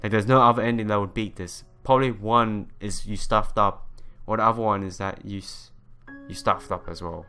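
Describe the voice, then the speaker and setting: A man's voice: fairly low pitch, fairly even intonation, moderate pace, pushed and bright, clean tone. Young man, informal let's player over music. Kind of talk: reading a visual novel aloud with casual commentary. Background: game music.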